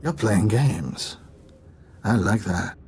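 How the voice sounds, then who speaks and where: An actor playing a wealthy, reclusive, jaded ex-businessman in a video game, unhurried and dismissive. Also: on the low side, lively intonation, a little hiss or hum. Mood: intrigued.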